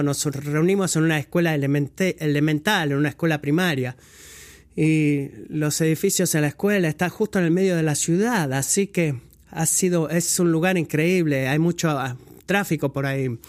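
Higher-voiced man preaching a sermon. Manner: measured, pausing, correcting his own slips. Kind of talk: scripture reading and sermon.

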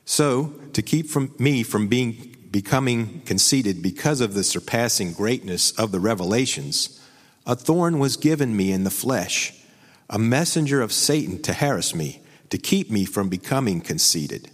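Male preacher, lowish voice, measured solemn scripture reading, long hall reverb. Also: expressive.

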